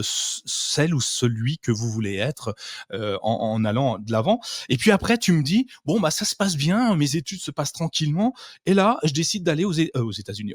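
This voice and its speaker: A man's voice: medium pitch, no echo, clean studio sound. A man hosting a podcast, asking questions informally with many fillers and restarts. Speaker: podcast host. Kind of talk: casual interview conversation.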